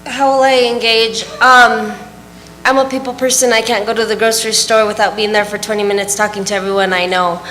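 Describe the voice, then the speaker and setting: A woman's voice: mid-range voice, fairly even intonation, a little fast, fairly bright tone, big-room sound, slight background noise, poor audio. A woman school board candidate answering a question at a public forum, chatty and repeating her phrases.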